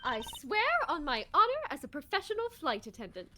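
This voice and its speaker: A woman's voice: sits high, sing-song, fairly quick, loud music behind the voice. A woman voicing a polite, anxious but insistent flight attendant with a courteous, official manner in a game fan dub. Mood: firmly accusing.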